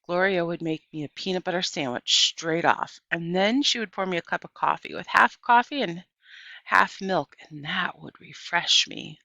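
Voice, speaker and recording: A woman reading a children's novel aloud as an audiobook narrator, in an animated storytelling way. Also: fairly low pitch, normal speed, gravelly, no echo, dull audio. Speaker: audiobook reader.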